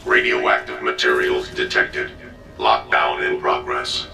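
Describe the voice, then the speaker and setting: An actor playing a cold automated facility alarm in a video game, with flat, deliberate announcements. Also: male voice, a bass voice, exaggerated voice. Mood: cold warning. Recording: echoey, distant, under loud music.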